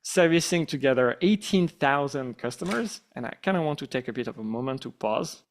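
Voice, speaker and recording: A middle-aged man with a French accent, the company founder, giving a relaxed, self-deprecating town hall presentation with frequent fillers. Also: medium pitch, brisk.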